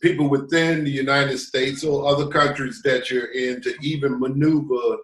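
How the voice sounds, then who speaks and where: A man co-hosting a conversational podcast, reflective and halting, often repeating phrases as he searches for words. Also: mid-range voice, steady tone, a bit bright. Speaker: co-host. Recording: echoey, roomy sound.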